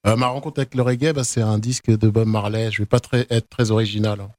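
A man, a musician guest who also interprets, speaking quickly and informally. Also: on the low side, steady tone, a little mellow, no room sound.

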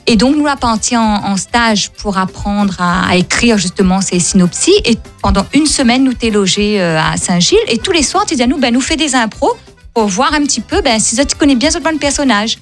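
A woman from Réunion speaks French with a Réunionese accent, Creole being her first language. She tells stories in a lively, repetitive way, laughing often, with faint music behind her. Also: on the high side, a little fast. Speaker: comedian and guest. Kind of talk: casual storytelling interview.

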